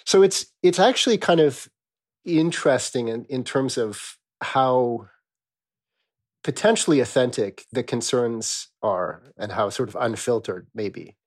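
A man speaking as an academic expert in a conversational scholarly interview, explaining in an animated way with rhetorical questions. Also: medium pitch, measured pace.

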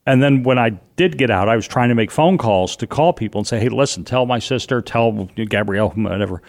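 A middle-aged man with a loud voice, telling a personal story as an interview guest, animated and vivid, acting out what people said. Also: mid-range voice, a little fast. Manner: vivid, animated retelling with acted-out quotes.

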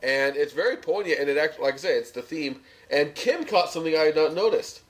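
A man's voice: fairly high pitch, lively intonation, a little fast, slight background noise. A man chatting casually as a podcast co-host, recapping a television episode.

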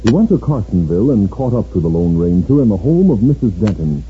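A man's voice: on the low side, very animated, brisk. A man narrating a radio drama in a 1950s style. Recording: tinny old radio broadcast, music behind.

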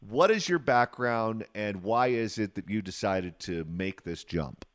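A man's voice: lowish voice, measured pace, dry recording. A man hosting a radio talk show, interviewing a guest with long, conversational questions.

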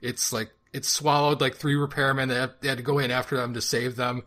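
A man from Canada co-hosting a podcast, trading wry jokes and quick offhand opinions in casual chat. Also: medium pitch, little pitch movement, sharp tone. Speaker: podcast co-host.

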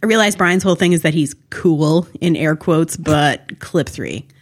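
A woman's voice: low voice, normal speed. A woman co-hosting a casual TV recap podcast, talking in a wry, snarky way. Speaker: podcast co-host.

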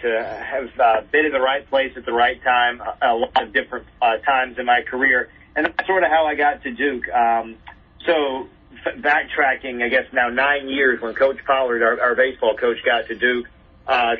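A man's voice: mid-range voice, slightly rough. A man who works as a play-by-play announcer, chatting as an interview guest over a dull remote connection. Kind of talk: conversational storytelling in an interview.

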